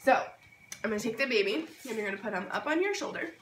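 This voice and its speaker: A hoarse, sick-sounding woman giving a casual, informal step-by-step hands-on tutorial. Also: on the high side, lively intonation, fast, a bit bright. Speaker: babywearing tutorial host. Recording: roomy, distant mic, noisy background.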